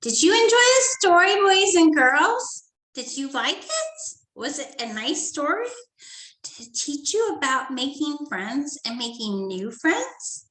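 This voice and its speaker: A woman teacher reading a story to young children and going over a study guide, talking gently and checking in with "okay?" often. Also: sits high, sing-song, measured pace, echoey room, low-quality recording. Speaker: first grade teacher.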